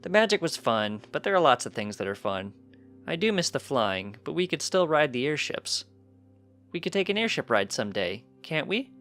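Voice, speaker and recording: An eager young colt, acted by a man, lifted in pitch and full of earnest cheer. He is a storybook pony child, heard over music. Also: very animated, moderate pace, fairly bright tone.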